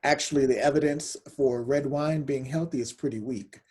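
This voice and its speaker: A woman's voice: rumbling low, normal speed, darkish tone, husky. A woman reading the part of a cheery, corny doctor in a comedy script table read. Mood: advising.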